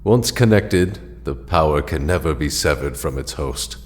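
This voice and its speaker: A man playing a solemn, formal and measured ancient alien mentor, last of his people, in an audio drama. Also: extremely low pitch, lively intonation. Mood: solemn, commanding.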